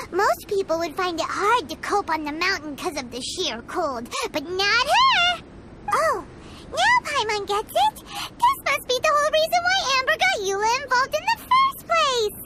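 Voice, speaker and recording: A woman voicing a tiny, excitable, chatty fairy companion in a video game, with a squeaky childlike voice. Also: normal speed, noisy background. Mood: chatty, musing. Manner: refers to self in third person, exclamatory. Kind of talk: English game voice acting. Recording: loud game sound behind voice.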